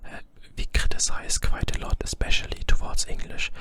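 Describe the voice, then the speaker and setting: A young man from Germany with a German accent, whispering an informal ASMR explanation to viewers and deliberately leaning on hard, overpronounced consonants. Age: young adult. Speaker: ASMR creator.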